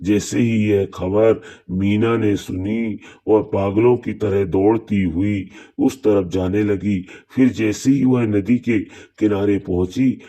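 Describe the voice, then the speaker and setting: Slow, dark-voiced man telling a story. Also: on the low side, steady tone. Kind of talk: scripted Hindi horror cartoon story narration.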